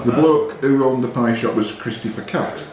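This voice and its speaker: A man hosting a quiz, reading questions deliberately and giving short, curt rulings on the answers. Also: mid-range voice. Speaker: quizmaster. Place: indoors in a pub. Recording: very echoey room with pub crowd. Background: clear crowd chatter.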